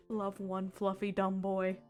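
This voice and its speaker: A woman's voice: mid-range voice, very animated, takes it slowly, darkish tone, faint music behind. A woman hosting a casual livestream chat, gushing and giggly. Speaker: streamer hosting.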